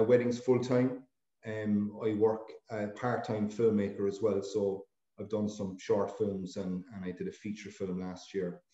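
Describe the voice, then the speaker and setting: Low flat-voiced Cork man, echoey. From Ireland. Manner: rambling, many fillers. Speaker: guest. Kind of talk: casual interview. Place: office room. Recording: echoey lo-fi room.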